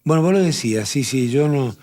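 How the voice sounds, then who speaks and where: A man with a Rioplatense accent explaining poetry and translation in a measured talk-show conversation, often restarting his phrases. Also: mid-range voice, expressive, a little mellow. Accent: Rioplatense Spanish. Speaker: literature professor on a TV talk show.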